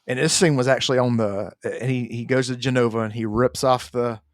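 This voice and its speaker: A man's voice: medium pitch, fairly even intonation, brisk, no room sound, clean studio sound. A man co-hosting a gaming podcast, talking in a loose, slangy, repetitive way as he chats casually. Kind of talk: casual chat, counting down favorites.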